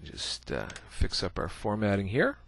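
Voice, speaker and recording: A man's voice: lowish voice, wildly swooping pitch, a little slow, vocal fry, fairly bright tone, slight background noise. A man giving a casual screencast coding tutorial, thinking aloud as he types, with many "uh" fillers. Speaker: programming instructor.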